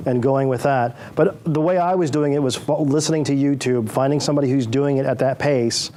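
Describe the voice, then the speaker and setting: A man's voice: mid-range voice, a little fast, mellow, slight background noise. A man in a workshop, explaining his approach in a casual, step-by-step way. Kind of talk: explanatory talk.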